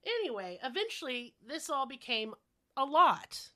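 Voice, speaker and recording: A woman's voice: high voice, big pitch swings, moderate pace, bright, forceful tone. Woman podcaster whos kinda sick, slangy joking storyteller, swears a lot, super casual. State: sick, coughing. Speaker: podcast host telling paranormal stories.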